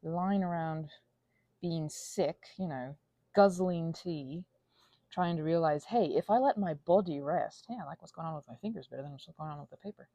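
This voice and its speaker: An anxious, panicky woman rambling casually as a vlog host, halting and drifting off on tangents, with playful theatrical flourishes. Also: low voice, dark tone, no room sound. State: unwell. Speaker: ASMR channel host.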